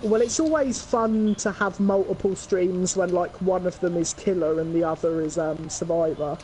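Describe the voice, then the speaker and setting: Easygoing English man, mellow voice. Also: high voice, fairly even intonation, moderate pace, a little hiss or hum, poor audio. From England. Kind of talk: casual gaming chat.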